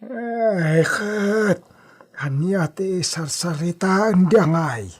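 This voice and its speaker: A man's voice: high-pitched, extremely slow, husky, exaggerated voice. A man playing a wary, easily alarmed villager in a radio drama, fretful and exclamatory. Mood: exasperated.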